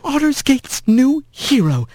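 A man's voice: very high voice, sing-song, slow pace, a little mellow, no room sound, voice pushed up, exaggerated voice. A man voicing a bitter, sour old female mouse who leads her clan, talking with cold contempt. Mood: scornful.

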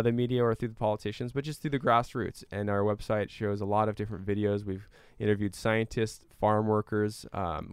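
A man with a fairly deep, clean and resonant voice answers interview questions conversationally. He explains things in an earnest, measured way, and the sound is close and dry. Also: smooth, dry recording. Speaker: interview guest, climate activist and scientist.

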